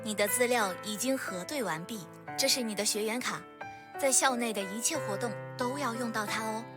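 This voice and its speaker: A courteous young female student guide, acted by a woman in a visual novel game, speaking in a high voice over loud music. She is formal, polite and welcoming as she addresses newcomers. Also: a little fast, close to an everyday voice.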